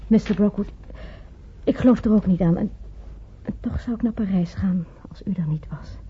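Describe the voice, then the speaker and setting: A devoted young woman caring for an invalid in an old radio drama. She speaks in a very breathy, hesitant, deferential way. The recording is dull and muffled. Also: mid-range voice, moderate pace. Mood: defensive, earnest. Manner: soft, hesitant, deferential, often dropping to breathy near-whisper. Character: devoted, timid 25-year-old female carer of an invalid. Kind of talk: radio play acting in Dutch.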